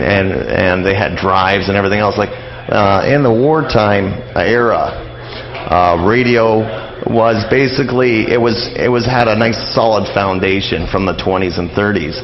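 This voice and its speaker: A middle-aged man, explaining things as a guest in a casual radio interview, halting and rambling as he goes. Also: lowish voice, highs cut off. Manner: halting, rambling explanations peppered with "you know" and "like".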